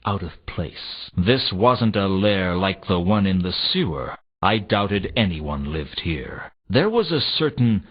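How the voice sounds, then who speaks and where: Husky, world-weary noir detective. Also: male voice, sits low, takes it slowly, few pauses, full character voice. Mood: deadpan, brooding. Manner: deadpan, laconic, wry. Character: world-weary hard-boiled noir detective, cynical, dryly humorous. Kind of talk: scripted first-person video game narration in film noir manner. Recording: dull lo-fi game audio.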